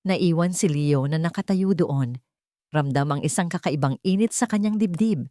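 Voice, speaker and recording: A woman narrating a scripted romance story in a measured, dramatic storytelling manner. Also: sits low, warm tone, no room sound, clean studio sound.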